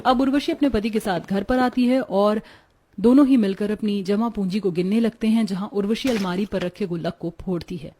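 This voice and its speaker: मुलायम आवाज़ वाली महिला कथावाचक. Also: female voice, medium pitch, normal speed, mellow, a little hiss or hum. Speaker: narrator. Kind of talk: scripted story narration.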